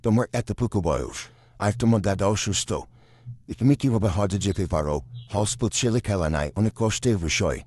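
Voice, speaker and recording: This is a man acting a doubtful visa official in a cartoon. He has a fairly low voice and talks in an admonishing way. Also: moderate pace, a little mellow, no echo, natural voice. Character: doubtful adult male visa official questioning a child. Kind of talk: cartoon dialogue.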